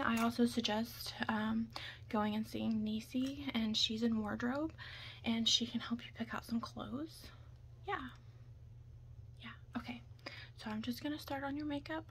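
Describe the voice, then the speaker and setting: Soft-spoken woman, half whispering. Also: fairly high pitch, steady tone, measured pace. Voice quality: soft, breathy, often dropping into whisper. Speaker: ASMR roleplayer playing a makeup artist. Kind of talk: ASMR soft-spoken roleplay. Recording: clear background noise.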